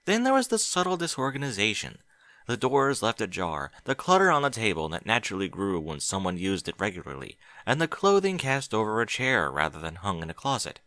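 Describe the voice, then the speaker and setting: A man reading scripted fiction as an audiobook narrator, measured and expressive. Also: fairly low pitch, no room sound.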